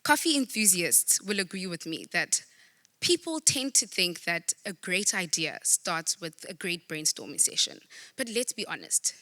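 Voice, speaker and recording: A young woman with a South African accent, speaking as a student presenter giving a prepared pitch. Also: bright, forceful tone. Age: young adult.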